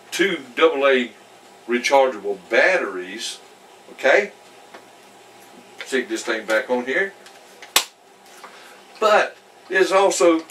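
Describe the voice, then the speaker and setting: A man giving a casual hands-on product demonstration in a folksy, rambling, halting way. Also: mid-range voice, a bit bright. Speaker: product reviewer. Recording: distant mic with faint background noise.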